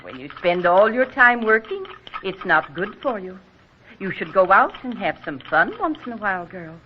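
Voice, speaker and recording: A woman playing a gentle, concerned, folksy rural mother in a 1940s radio drama, with a husky voice. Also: medium pitch, big pitch swings, normal speed, a little hiss or hum, lightly acted. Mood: kindly advising. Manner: folksy, consoling. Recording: muffled vintage radio recording.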